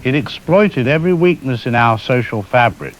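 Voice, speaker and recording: A man playing a resigned disease scientist who records a final testament in a grave, deliberate, lecturing monologue. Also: medium pitch, expressive, close to an everyday voice, low-quality recording. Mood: grimly explaining. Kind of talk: dramatic scripted monologue. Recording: faint hiss and rain noise. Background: rain and hiss.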